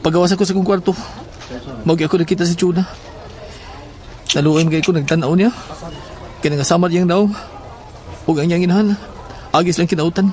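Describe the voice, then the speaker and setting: Casting: male actor as troubled schoolboy in horror audio drama, high-pitched, Cebuano accent, confiding. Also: fairly even intonation, fairly quick, long pauses, a little mellow, close to an everyday voice.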